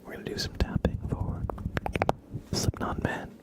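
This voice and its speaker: A man chatting casually in a gentle, playful, breathy ASMR whisper as a live streamer, close to the microphone. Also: normal speed. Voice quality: breathy whisper with soft creaky murmurs.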